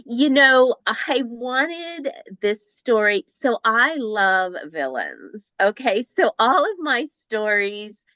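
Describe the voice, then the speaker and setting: A woman in her fifties talks eagerly about her writing as an interview guest, often restarting her sentences. Her voice is clear and bright, and the recording is dry and close. She sounds warmly enthusiastic throughout. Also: a little high, very animated, slow, few pauses, dry recording, muffled recording. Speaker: romance author, guest. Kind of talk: casual interview chat.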